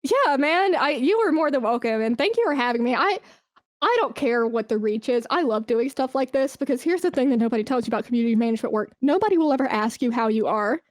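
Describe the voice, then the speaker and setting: A woman with a fairly high voice, recorded close and dry, speaks as a guest in a conversational interview. She gives career advice informally, with encouragement and plenty of emphasis. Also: lively intonation, fast talker, no echo. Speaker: podcast guest, esports brand and community manager.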